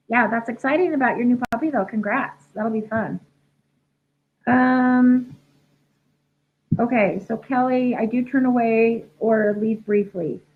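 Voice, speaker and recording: Congested woman on tinny livestream audio. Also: a little high. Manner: chatty, many ums and restarts, reads questions aloud. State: losing her voice, congested from a head cold. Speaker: certified dog trainer answering viewer questions. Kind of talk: casual live Q&A.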